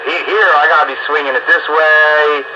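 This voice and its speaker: A man's voice: a little high, steady tone, moderate pace. An American man in casual, folksy conversation as an amateur radio operator over two-way radio. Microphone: two-way radio microphone. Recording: muffled radio transmission with clear static noise.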